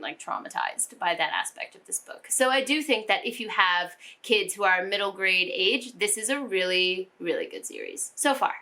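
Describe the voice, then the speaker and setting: A woman's voice: mid-range voice, sharp tone. A young woman chatting casually about books she read, laughing often and filling with "like" and "you know". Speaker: book vlogger. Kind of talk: casual book review chat. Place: home room with bookshelves.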